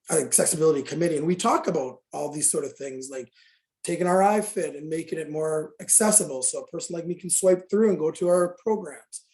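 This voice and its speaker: A man's voice: on the high side, creaky, darkish tone, roomy sound. A middle-aged man speaking candidly and earnestly on a video call meeting, talking to fitness business owners.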